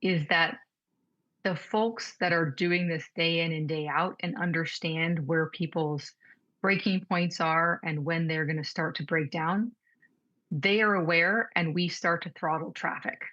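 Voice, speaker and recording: A woman's voice: on the low side, steady tone, a little slow, a bit bright. A woman speaking as an academic expert, explaining in an interview. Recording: slightly dull lo-fi audio.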